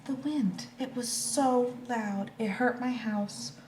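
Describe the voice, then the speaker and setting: A woman's voice: fairly high pitch, slow, darkish tone, pitched above the performer's own voice. Woman doing a scared shaken squirrel from a kids book, simple little sentences, echoey noisy room. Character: shaken, frightened storybook squirrel recalling harm. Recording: echoey room with clear background noise.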